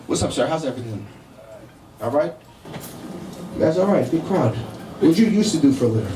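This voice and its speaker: Middle-aged male stand-up, New York accent, brash and foul-mouthed, pitched high, heard in an echoey room. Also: lively intonation, brisk, noisy background. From Brooklyn, New York, United States. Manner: brash, profane, conversational. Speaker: stand-up comedian. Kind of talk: live stand-up comedy with crowd banter. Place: indoor comedy show in a cafe. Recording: echoey live room, miked from a distance.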